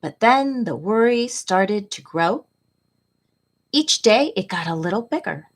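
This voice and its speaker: A middle-aged woman hosting a read-aloud for children as a tutor, speaking in a deliberate, expressive storytelling manner. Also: medium pitch.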